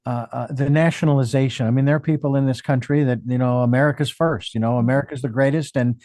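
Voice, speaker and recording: A man's voice: medium pitch, steady tone, fast talker, mellow, no echo, clean studio sound. A man hosting an interview, asking long, rambling questions that he works out as he talks. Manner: rambling, musing questions with restarts. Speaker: interview host. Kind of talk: conversational interview.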